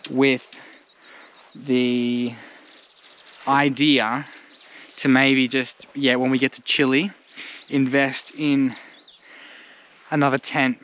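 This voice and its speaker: An Australian man talks in an easygoing, unscripted vlog style at an average male pitch. His delivery is informal and rambling, peppered with slang. He is recorded outdoors at a bush campsite, with birdsong and light background noise behind him. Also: fairly bright tone. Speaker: travel vlogger. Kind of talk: casual chat to camera.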